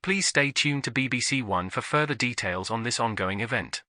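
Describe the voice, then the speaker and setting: A man delivers scripted emergency bulletins as a television continuity announcer. His voice is bright and forceful at a middle pitch, with a formal, solemn delivery. The sound is close and dry. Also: expressive, normal speed, no room sound.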